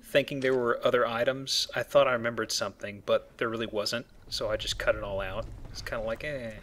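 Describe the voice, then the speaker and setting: A man's voice: mid-range voice, steady tone, fairly quick, a bit bright. A man hosting a casual let's play, chatting as he plays a video game. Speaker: video game player and host. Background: video game sounds.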